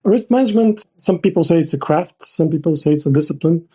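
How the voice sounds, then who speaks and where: A middle-aged man speaking as an interview guest, explaining things in a measured, structured way with careful definitions. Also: a little high, warm tone, slightly rough. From Bulgaria. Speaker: podcast guest, risk manager.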